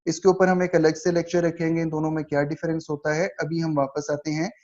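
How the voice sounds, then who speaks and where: A man's voice: fairly high pitch, flat delivery, a little mellow. A man with a Pakistani accent lecturing on law in a didactic way, reading points out and explaining them. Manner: didactic, slows down markedly for read-out passages. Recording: dull lo-fi recording.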